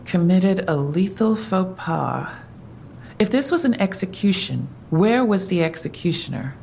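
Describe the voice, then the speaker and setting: A woman's voice: lowish voice, expressive, measured pace, gravelly, a little mellow, a little hiss or hum. An actress in an audio drama playing a skeptical, probing female police detective. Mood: skeptical, questioning.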